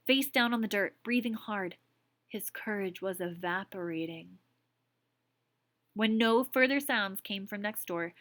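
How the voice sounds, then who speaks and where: A woman's voice: medium pitch, a bit bright, no room sound. A woman teacher reads a story aloud to her class. She keeps stopping to explain words and ask checking questions.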